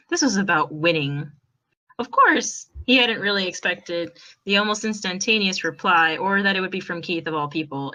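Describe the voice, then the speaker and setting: A woman's voice: lowish voice, bright, forceful tone, low-quality recording. A woman reading fan fiction aloud as narrator, with wry, dramatic emphasis.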